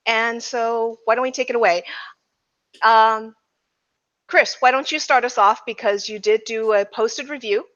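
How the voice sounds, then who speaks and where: A woman's voice: fairly high pitch, fairly even intonation, unhurried, fairly bright tone. A woman hosting a podcast in a casual discussion style, recorded with a somewhat dull, lo-fi sound. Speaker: podcast host.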